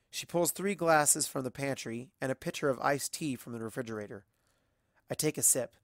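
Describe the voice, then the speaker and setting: A person reading a story aloud as scripted narration, dropping softer on the narration tags. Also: mid-range voice, no room sound, clean studio sound. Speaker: amateur audiobook reader. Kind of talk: scripted narration of fan fiction.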